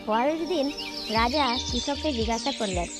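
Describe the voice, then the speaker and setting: A woman narrating a cartoon folktale in a steady scripted storytelling manner. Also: fairly high pitch, moderate pace, over loud music.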